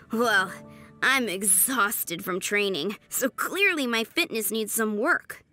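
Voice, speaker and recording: Eager, animated aspiring knight girl. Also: a little high, slow, heavily acted. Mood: weary but friendly. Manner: enthusiastic, modest, bubbly. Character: earnest, eager young woman training to be knight. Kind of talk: video game voice acting. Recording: faint background music.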